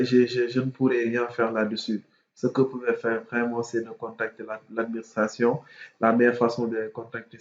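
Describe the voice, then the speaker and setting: A man's voice: mid-range voice, roomy sound, dull audio. A man with a cold, speaking, explaining university procedures to students in an informal, hesitant way with many fillers. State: has a cold, congested voice. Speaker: student mentor.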